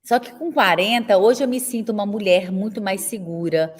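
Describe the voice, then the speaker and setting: A woman's voice: mid-range voice, moderate pace. Chatty Brazilian woman teaching caregivers. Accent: Brazilian Portuguese. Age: 40s. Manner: chatty, tags nearly every sentence with a question to viewers. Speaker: instructor for elder caregivers. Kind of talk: live online class, casual chat.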